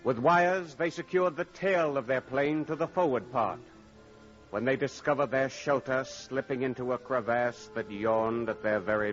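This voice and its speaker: A man giving solemn, dramatic scripted narration in the style of old-time radio, in measured phrases. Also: mid-range voice. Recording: dull old radio recording. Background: music.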